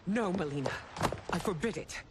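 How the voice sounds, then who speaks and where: A woman's voice: on the low side, animated, moderate pace, very noisy, close to an everyday voice. A woman playing a regal, guarded middle-aged empress in a video game, speaking formally and with command. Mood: firm. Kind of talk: dramatic video game acting.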